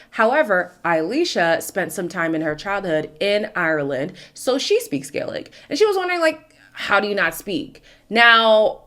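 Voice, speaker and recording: Animated young woman recapping reality TV. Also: fairly low pitch. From Canada. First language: Ndebele. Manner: chatty, opinionated, animated. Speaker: recap host. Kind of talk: casual reality-show recap.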